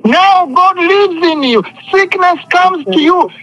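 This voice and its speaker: A man's voice: extremely high pitch, lively intonation, slow pace, bright, forceful tone, low-quality recording. A man preaching an impassioned sermon, speaking emphatically with repetition and often calling for responses from listeners. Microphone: phone conference call.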